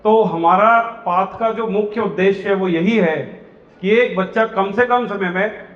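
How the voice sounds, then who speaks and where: A man's voice: high-pitched, a little slow, fairly bright tone. A man who co-founded a coaching institute, giving an earnest promotional speech at a public event. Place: large hall. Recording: echoey hall, dull PA sound.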